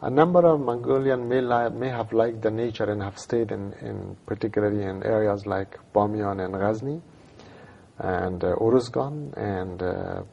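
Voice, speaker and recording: A man in his fifties from Afghanistan with an Afghan accent and Dari as his first language, speaking English haltingly with fillers in a studio TV interview. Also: on the low side, creaky, highs cut off. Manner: halting, many fillers and restarts. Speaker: civil society director, interview guest. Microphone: lapel.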